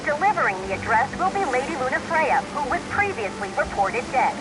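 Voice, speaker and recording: Game role: formal radio news anchor, high voice, animated bulletin delivery over noise. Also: female voice, fairly quick. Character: formal female radio newsreader. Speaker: radio newsreader. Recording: noisy radio broadcast with engine ambience.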